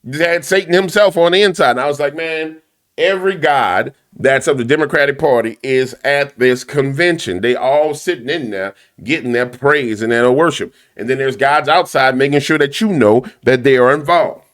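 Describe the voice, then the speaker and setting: A man with a big, loud voice delivers a fiery commentary rant. He hammers his points home through repetition, rising and falling like a preacher in full flow. His pitch sits in a plain middle register. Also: normal speed. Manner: emphatic, repetitive, preacher-like cadence. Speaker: commentary show host.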